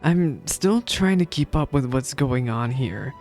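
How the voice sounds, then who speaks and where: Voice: mid-range voice, expressive, unhurried, a little mellow, soft background music. A game streamer giving casual reaction commentary in a playful, self-deprecating way.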